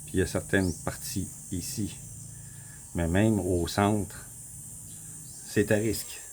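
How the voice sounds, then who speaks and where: A man with a Québécois accent, casually walking viewers through a map on his screen, rambling, repeating himself and clearing his throat often. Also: deep voice, steady tone, slow pace, darkish tone, a little hiss or hum. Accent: Québécois French. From Quebec. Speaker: vlogger. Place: home in the Philippines.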